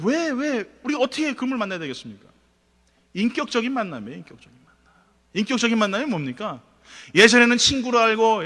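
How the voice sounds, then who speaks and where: A man preaches a sermon in a church sanctuary, talking quickly in a bright, high voice. He swings from emphatic peaks to quiet asides. A long reverb from the hall trails his words. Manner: animated preaching, rising emphatic then dropping to quiet asides. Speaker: pastor.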